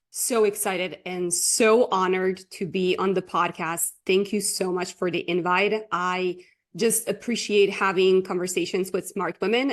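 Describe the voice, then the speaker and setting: A woman from Croatia, speaking English, chatting as a guest coach on a podcast with lots of fillers and frequent laughter. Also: mid-range voice. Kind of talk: casual interview chat.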